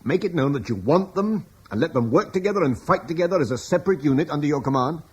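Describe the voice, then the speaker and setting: An actor playing a thoughtful, idealistic older father, speaking in a reflective, persuasive way with a husky voice. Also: fairly high pitch, expressive, fast, a little mellow. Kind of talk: radio drama acting.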